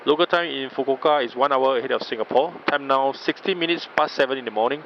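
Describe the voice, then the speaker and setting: A man's middle-pitched voice comes muffled over an aircraft PA, with faint cabin noise. He is the captain, giving formal, informative flight details. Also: fast. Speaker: airline captain. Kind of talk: pilot's cabin announcement.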